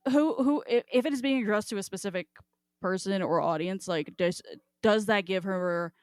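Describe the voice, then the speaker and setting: A woman's voice: mid-range voice, normal speed, darkish tone, clean tone, no echo, clean studio sound. A woman co-hosting a podcast in casual discussion, talking informally and swearing freely. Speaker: podcast co-host.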